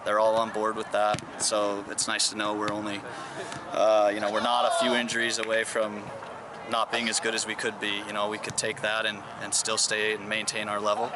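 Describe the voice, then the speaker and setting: A man's voice: on the low side, completely flat delivery, moderate pace. A man giving spontaneous interview answers as a coach, recorded outdoors. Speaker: college soccer coach. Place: outdoors at a practice. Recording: noisy outdoor ambience with traffic.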